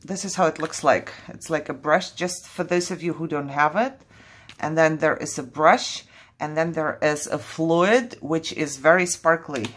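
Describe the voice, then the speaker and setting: A woman's voice: low voice, a little slow. A woman from Kazakhstan whose first language is Russian hosts a casual crafting vlog in English. She talks in a chatty, jittery way and laughs often. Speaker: crafting channel host.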